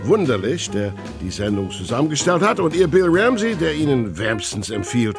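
An older man signing off a radio show with lively flair, from an archive broadcast. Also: mid-range voice, moderate pace, music in the background. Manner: lively, swinging sign-offs.

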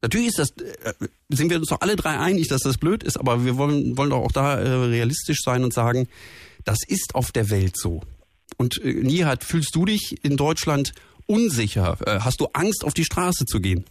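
A man's voice: mid-range voice, lively intonation, normal speed, no room sound. A man hosting a call-in radio show in casual, informal talk, often jumping in with short interjections. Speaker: radio phone-in host.

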